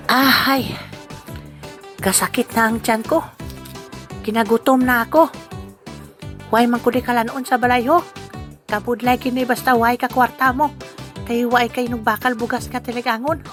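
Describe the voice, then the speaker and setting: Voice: medium pitch, steady tone, moderate pace, long pauses, fairly bright tone, faint music behind, lightly acted. A performer voicing a hungry, impish, vengeful thief in a comedic audio drama, muttering and exclaiming giddily to themself. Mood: whining hunger. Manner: exclamatory, giggly self-talk.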